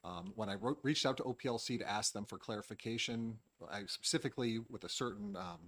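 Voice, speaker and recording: A man's voice: fairly low pitch, fast, fairly bright tone. A man, a respiratory therapist, giving public testimony at a hearing in an earnest, questioning, slightly rambling way. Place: legislative committee hearing room.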